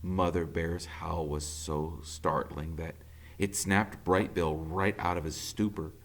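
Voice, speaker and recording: A man reading a children's novel aloud in a theatrical, emphatic storytelling style, with dramatic pauses. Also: deep voice, steady tone.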